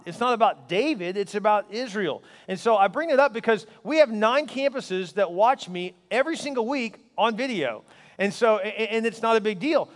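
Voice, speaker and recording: A pastor in his forties preaching a sermon to a large congregation, energetic and conversational, with quips thrown at the crowd. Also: high voice. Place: large church auditorium. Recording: long hall reverb.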